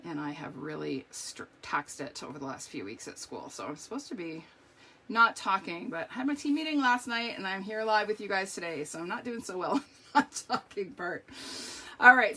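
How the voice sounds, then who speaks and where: A middle-aged woman with a strained, hoarse voice, chatting through a live card-making tutorial with lots of ums and okays. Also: medium pitch, animated, moderate pace, pushed and bright.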